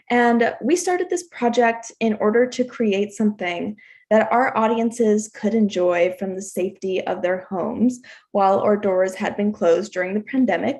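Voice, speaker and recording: A woman's voice: a little high, vocal fry, a little mellow. An American woman giving a spoken welcome as host of an online webinar, heard over a video call. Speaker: event host, theater company marketing coordinator.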